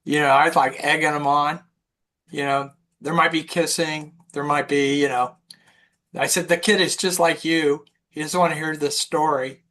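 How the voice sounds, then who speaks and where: A woman's voice: low voice, steady tone, normal speed, fairly bright tone. An older woman telling anecdotes casually in a group film discussion. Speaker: film club member.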